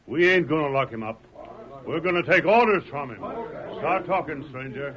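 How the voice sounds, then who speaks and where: An actor playing a plain-spoken American frontier marshal with folksy authority in an old-time radio western. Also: male voice, fairly high pitch, lively intonation, moderate pace, natural voice. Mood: firm, authoritative. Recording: muffled vintage radio with crowd noise.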